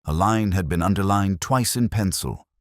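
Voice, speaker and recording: Very deep-voiced brooding detective played by a man. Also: dry recording, studio quality, lightly acted. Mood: brooding, reflective. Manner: measured, literary, melancholy. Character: brooding, erudite male police detective narrating his case. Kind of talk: scripted first-person mystery narration.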